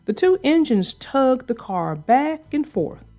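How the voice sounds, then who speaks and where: A woman narrating a children's book in an expressive read-aloud storytelling style. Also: high voice, takes it slowly, soft background music.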